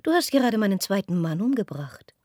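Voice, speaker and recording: A woman's voice: mid-range voice, very animated, a little fast, mellow, no echo, studio quality. An actress playing an imperious, scornful Persian queen in a comic radio play, with a commanding, sardonic manner. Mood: haughty, threatening.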